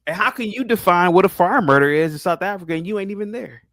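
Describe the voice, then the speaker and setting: A man's voice: high-pitched, lively intonation, quick pace, fairly bright tone, dry recording. Mocking American man, dry close sound. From Ohio, United States. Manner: mocking, teasing, reads text aloud and comments. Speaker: stream host. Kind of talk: casual debate stream.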